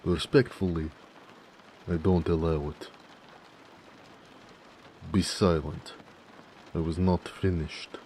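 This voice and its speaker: A man playing a devoted orc blacksmith in a romantic audio roleplay, deep and gravelly, tender yet possessive and stubborn, speaking slowly and earnestly. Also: steady tone, a little mellow, a little hiss or hum. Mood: stern, pleading.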